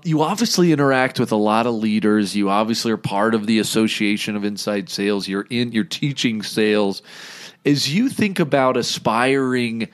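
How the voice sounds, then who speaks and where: Rambling male podcast host. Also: mid-range voice. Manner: rambling, filler-heavy questions. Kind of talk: casual interview chat.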